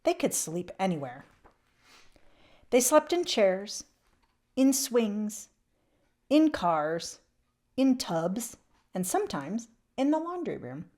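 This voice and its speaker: A woman reading a children's picture book aloud expressively, with emphatic swells and hushed drops. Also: mid-range voice, big pitch swings, takes it slowly, long pauses. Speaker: children's read-aloud channel host.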